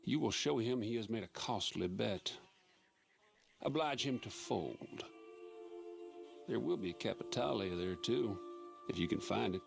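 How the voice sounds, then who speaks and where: Low, measured Old West schemer. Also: male voice, expressive, creaky, soft background music, exaggerated voice. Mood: coolly menacing. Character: shrewd male Old West operator, coolly menacing schemer. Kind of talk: video game cutscene acting.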